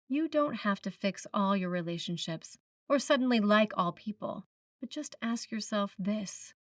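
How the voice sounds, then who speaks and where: A woman preacher reading a written sermon in a measured, reflective manner, putting questions to the listener. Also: fairly high pitch, no echo, clean studio sound, recorded from a distance. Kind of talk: sermon, read from a script.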